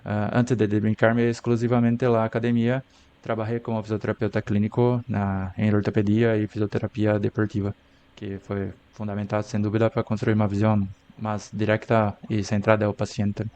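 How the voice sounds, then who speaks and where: A man's voice: fairly low pitch, little pitch movement, moderate pace, a little mellow, lo-fi sound. A man from Brazil with a Brazilian Portuguese accent, a native Portuguese speaker, answering interview questions as a guest carefully with frequent "ah" fillers. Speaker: physiotherapy researcher, guest.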